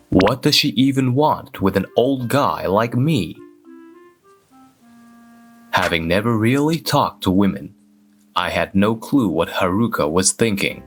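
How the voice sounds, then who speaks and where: A man playing a reserved, awkward office worker who narrates his own manga-dub story in a measured, deadpan way. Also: lowish voice. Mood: puzzled. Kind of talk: scripted first-person narration in a manga dub.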